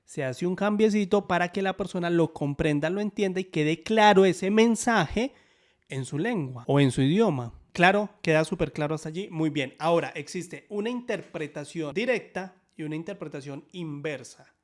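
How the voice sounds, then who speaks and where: An upbeat male instructor with a slightly high voice walks viewers through a sign language lesson. He explains step by step and keeps checking in with quick questions. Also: animated.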